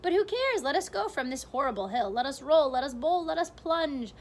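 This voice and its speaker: A woman reading aloud and voicing an excitable, boastful male centipede reciting in a sing-song rhyme. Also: sits high, fairly quick, voice pushed up. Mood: excited, sing-song.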